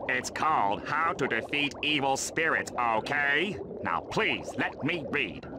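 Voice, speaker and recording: An actor playing a grumpy, snooty squid in a cartoon video game, nasal, irritated and dismissive. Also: male voice, medium pitch, animated, unhurried, background noise, close to an everyday voice. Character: grumpy, snooty adult male squid neighbour.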